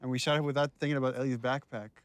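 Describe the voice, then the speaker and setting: A man's voice: mid-range voice, steady tone, very fast, dry recording. A man talking casually as a game director giving commentary.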